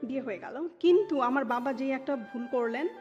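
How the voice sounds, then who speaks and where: A woman's voice: high voice, fairly quick, darkish tone. A woman in her thirties with a Dhaka Bangladeshi accent tells her personal life story as an interview guest, dropping English words into her speech. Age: 30s. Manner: mixes English words into Bengali. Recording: dull muffled sound with music underneath. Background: music.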